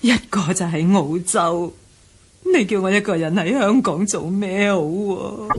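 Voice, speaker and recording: A woman's voice: medium pitch, unhurried, a little hiss or hum, close to an everyday voice. A woman acting a middle-aged widowed mother in a Cantonese radio drama, plaintive and fond, with a Hong Kong accent. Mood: grieving.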